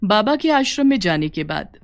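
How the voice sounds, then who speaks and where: A woman's voice: medium pitch, very animated, normal speed. A woman narrator reading a scripted story.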